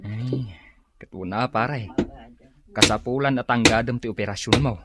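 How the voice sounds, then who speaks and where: A man's voice: mid-range voice, normal speed, heavy background noise, close to an everyday voice. A man with an Ilocano accent playing an easygoing, friendly working husband in a comedy radio drama. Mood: friendly, sympathetic.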